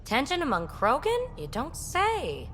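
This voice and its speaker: An actress playing a sardonic, outspoken crew member in a video game, with a dry, sarcastic manner. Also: female voice, high-pitched, wildly swooping pitch, measured pace, fairly bright tone, slight background noise, exaggerated voice. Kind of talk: video game cutscene acting.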